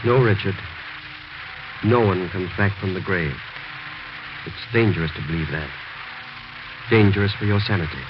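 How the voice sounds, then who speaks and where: An actor playing a sober, skeptical doctor, reasoning and admonishing in 1940s radio drama style. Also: male voice, low voice, moderate pace, pauses often, lightly acted. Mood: grave. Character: sober, skeptical male doctor, concerned family friend. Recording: noisy, muffled 1940s radio recording.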